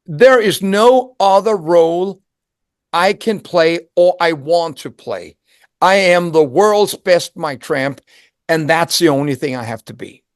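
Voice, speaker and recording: An older man with a Danish accent, speaking in a fairly high voice for a man. He talks reflectively, often restarting and repeating words as he searches for phrasing. The recording is dry and close. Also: animated, slow, no echo. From Denmark. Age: 60s. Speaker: interview guest, singer. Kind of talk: reflective conversational interview.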